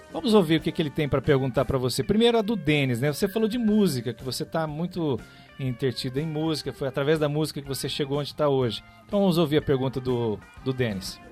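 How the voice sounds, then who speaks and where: A man's voice: on the high side, darkish tone. A man with a Brazilian accent hosting a radio interview, introducing and prompting in a conversational way. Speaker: radio show host.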